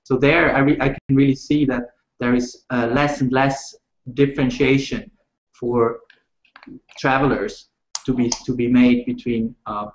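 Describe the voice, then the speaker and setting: Rail exec on a video call, halting, hesitant delivery, roomy and dull audio. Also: male voice, medium pitch, steady tone. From Switzerland. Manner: measured, halting with frequent uh fillers and restarts. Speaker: rail industry panelist. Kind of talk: panel discussion over video call.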